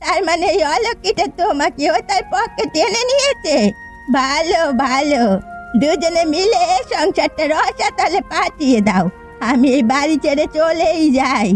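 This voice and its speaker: Scheming elderly village matriarch, female actor, high-pitched, scornful and grumbling, cartoon style, faint music. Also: expressive, measured pace. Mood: scornful, angry. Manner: complaining, scornful. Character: bitter, scheming old village mother-in-law. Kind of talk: Bengali cartoon fairy-tale acting.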